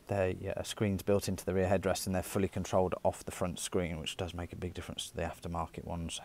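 A man's voice: sits low, a little hiss or hum. A British man giving a chatty, informal walkaround sales presentation as a car dealer. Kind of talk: walkaround sales presentation, semi-casual commentary. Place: indoor car showroom.

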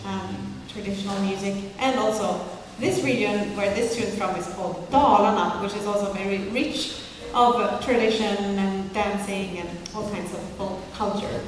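A woman's voice: mid-range voice, fairly even intonation, normal speed, few pauses. Swedish woman in a very echoey hall. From Hälsingland, Sweden. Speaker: folk fiddler introducing a tune on stage. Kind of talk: casual stage banter. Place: wooden lodge hall concert stage. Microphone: stand microphone on stage, recorded from afar. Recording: very echoey hall, distant, noisy live sound.

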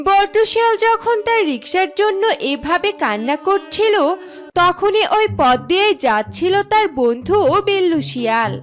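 High-voiced woman narrating a children's story. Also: sing-song, moderate pace.

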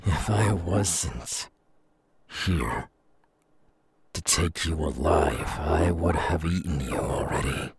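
A man playing a menacing, gloating man-eating demon in an ASMR audio roleplay, slow and sneering, with a gravelly growl that drops into breath. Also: rumbling low, a little hiss or hum, heavily acted. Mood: threatening. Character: menacing, gloating male demon who eats humans.

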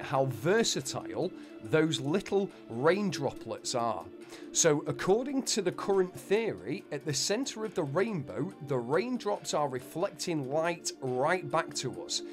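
A man reading a scripted explainer narration, with folksy, wonder-filled asides to listeners. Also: on the high side. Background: background music.

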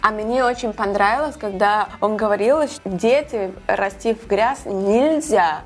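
A woman's voice: mid-range voice, unhurried, soft background music. A young woman with a foreign accent, not a native speaker, chatting casually as she reacts. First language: not Russian. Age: young adult. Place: small studio, seated at table. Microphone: lapel.

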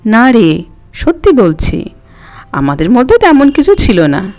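A woman's voice: a little high, huge pitch swings, slow, a little mellow, full character voice. A woman voicing an elderly grandmother who is coy, wistful and fondly scolding in a dramatic story reading. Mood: bashful denial. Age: older. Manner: coy, reminiscing, mildly snappish. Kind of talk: dramatic audiobook character reading. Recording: music under the voice.

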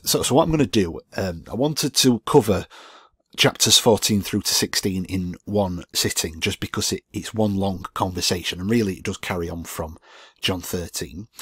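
A man's voice: low voice, husky, no echo. A man teaching a Bible study over slides, thinking aloud with many fillers and restarts, his voice groggy.

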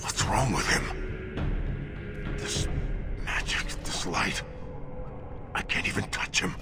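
An actor playing an anguished, protective dwarf warrior in a fantasy video game, earnest and emphatic. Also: male voice, low-pitched, sing-song, slow, over loud music, heavily acted. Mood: anguished, worried.

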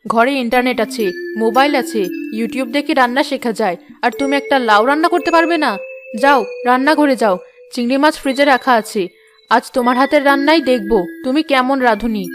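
A woman as a stern, high-voiced mother-in-law. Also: a little fast, soft background music, natural voice. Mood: stern, scolding. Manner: scolding, curt. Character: stern, irritable middle-aged mother-in-law. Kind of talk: scripted cartoon dialogue.

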